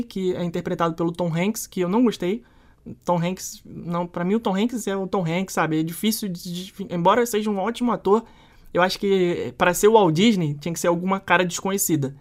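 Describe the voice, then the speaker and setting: A woman co-hosting a casual film review chat, talking in an animated, slangy and emphatic way. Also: lowish voice. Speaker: podcast co-host.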